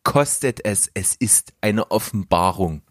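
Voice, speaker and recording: A man's voice: on the low side, animated, measured pace, dry recording, studio quality. A man hosting a podcast, chatting casually in a jokey, colloquial way. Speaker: podcast host. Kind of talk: casual chat.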